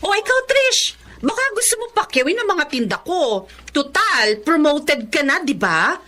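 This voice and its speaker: An actress playing a proud, feisty online seller, animated and defensive in radio drama dialogue. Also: female voice, sits high, a little slow, a little hiss or hum, exaggerated voice. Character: proud, feisty struggling online-selling woman.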